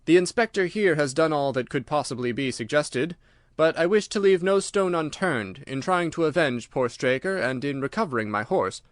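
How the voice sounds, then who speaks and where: A man's voice: fairly high pitch, lively intonation, normal speed, dry recording, clean studio sound, close to an everyday voice. A man voicing a small, dapper, courteous and determined colonel in an audiobook, speaking formally and emphatically. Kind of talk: audiobook character dialogue.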